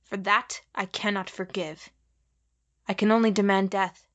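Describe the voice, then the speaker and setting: A woman playing a blinded, vengeful figure with power over light and dark, speaking in solemn, deliberate declarations in an audio drama. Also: mid-range voice, little pitch movement, lo-fi sound, close to an everyday voice. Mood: cold, unforgiving.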